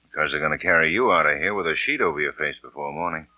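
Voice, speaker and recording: An actor playing a blunt, sarcastic district attorney's investigator in a 1940s radio crime drama. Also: male voice, extremely low pitch, racing, dry recording. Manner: blunt, dry. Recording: tinny, narrow vintage radio recording.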